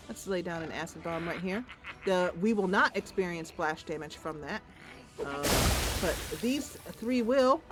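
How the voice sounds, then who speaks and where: A woman doing casual gameplay commentary, thinking aloud playfully and talking to the game's characters. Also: mid-range voice. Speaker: host of a game playthrough.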